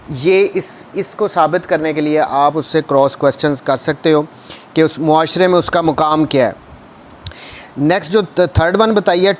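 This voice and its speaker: Higher-voiced Pakistani man lecturing. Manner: switches between Urdu and English, repeats points to explain. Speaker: law lecturer, advocate. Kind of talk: explanatory lecture, reading articles aloud and explaining them. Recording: noticeable background noise.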